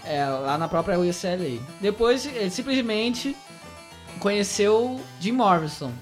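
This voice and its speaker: A man's voice: sits high, animated, unhurried, soft background music. A man co-hosting a casual chat with friends, jokey and self-deprecating while he explains some history. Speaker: podcast co-host.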